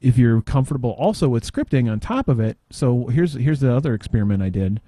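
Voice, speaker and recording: A man giving an informal software demo, halting and tangential as he thinks aloud in casual talk. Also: mid-range voice, lively intonation, no room sound. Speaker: podcast panelist demonstrating software.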